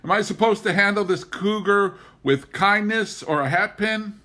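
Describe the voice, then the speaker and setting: An exasperated farm wife acted out by a male reader speaks in a raised pitch. She is bitter and dryly sarcastic, a young woman from a storybook memoir. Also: normal speed, pushed and bright. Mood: resentful.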